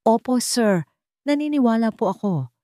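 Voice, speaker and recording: Woman reader as young female server, Filipino accent, gentle, respectful, soft-spoken, audiobook drama. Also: on the high side, lively intonation, normal speed, dry recording, clean studio sound, pitched above the performer's own voice, natural voice. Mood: respectful, hopeful.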